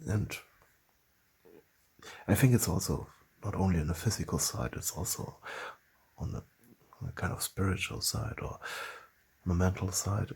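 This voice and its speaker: A man with a German accent talks casually to camera on his own channel. His voice is fairly low and very husky, with a gravelly fry running through it. He speaks haltingly and often stops to restart a phrase as he reaches for the right words. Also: darkish tone. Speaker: channel host.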